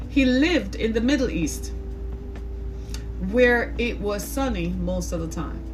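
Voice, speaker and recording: Lady podcast host, highish voice, fired up preachy monologue w lots of rhetorical questions, music playing under it. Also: rough recording. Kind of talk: impassioned opinion monologue.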